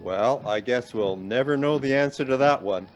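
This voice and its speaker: A man's voice: medium pitch, normal speed, muffled recording, slight background noise, close to an everyday voice. An actor in a radio play voicing an anxious, self-deprecating man stranded in a snowstorm. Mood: uneasy. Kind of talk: naturalistic radio play acting.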